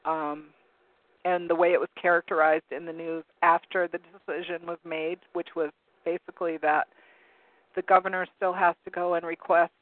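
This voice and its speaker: A hoarse man with a slightly high voice hosts a call-in talk show, rambling and hunting for words as he reads from web pages. His voice comes through a narrow, phone-like line that sounds dry and close, with no room around it. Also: flat delivery, moderate pace, no echo. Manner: rambling, searching for words with many ums. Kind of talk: casual talk radio commentary, reading from web pages.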